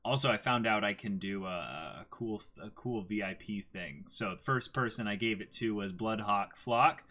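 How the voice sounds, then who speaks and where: A man's voice: lowish voice, normal speed, bright, forceful tone. A man in his twenties co-hosting a livestream, chatting casually and playfully, with exclamations as he reacts and reads out comments. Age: 20s. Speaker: co-host of a livestream. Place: small indoor room, seated before a backdrop.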